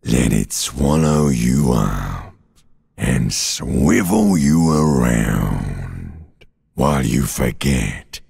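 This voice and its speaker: An abyssal, gravelly, primeval inner voice. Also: male voice, rumbling low, big pitch swings, darkish tone, heavily acted. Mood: darkly soothing. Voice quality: gravelly, raspy, guttural growl. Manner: slow, drawn-out, mocking, darkly soothing. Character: ancient reptilian brain, menacing seductive inner voice. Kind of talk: theatrical video game voice acting. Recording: long cavernous reverb.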